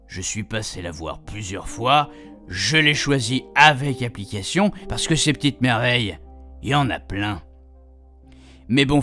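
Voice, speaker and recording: A man's voice: medium pitch, sharp tone. An actor playing a chatty, proud retired husband in a radio fiction, rambling colloquially and speaking straight to his reader. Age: older. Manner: colloquial, digressive, addressing the reader directly. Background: music.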